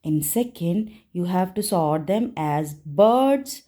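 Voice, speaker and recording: A woman teacher narrating a simple lesson for young children, explaining deliberately in short phrases. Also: on the low side, animated, dark tone. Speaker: primary school teacher.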